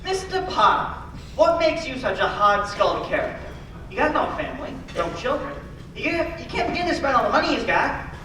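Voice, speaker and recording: A man's voice: very high-pitched, sing-song, brisk, noisy background. A young man playing earnest, kindly small-town men in an old-fashioned radio play. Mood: pleading, worried. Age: young adult. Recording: echoey hall, distant mic, music behind.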